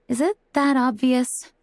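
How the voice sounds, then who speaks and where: A woman's voice: high-pitched, background noise, exaggerated voice. An actress playing a dutiful, composed knight leader in a fantasy game, speaking formally with music behind her. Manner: formal, measured phrasing. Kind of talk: fantasy video game acting.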